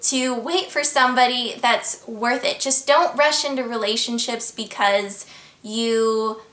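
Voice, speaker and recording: A young woman with an American accent talks to her camera at home, answering viewer questions in a chatty, earnest and upbeat way. Her voice sits a little high and sounds crisp and bright. The room around her rings with noticeable echo. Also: unhurried. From United States. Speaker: vlogger. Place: at home, indoors.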